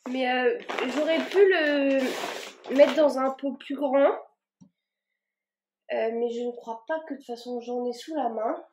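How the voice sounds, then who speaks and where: A woman's voice: high voice, measured pace, long pauses, a little mellow, a little hiss or hum. A woman vlogging casually as she repots her plants, talking in a rambling way with plenty of fillers and restarts. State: a bit warm and tired from the work.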